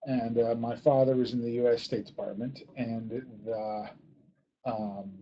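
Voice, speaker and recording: A man's voice: medium pitch, flat delivery, a little slow, highs cut off. A man speaking as an interview guest and author, explaining things conversationally with emphatic repetition. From born in Ghana, grew up in US embassies in Southeast and East Asia.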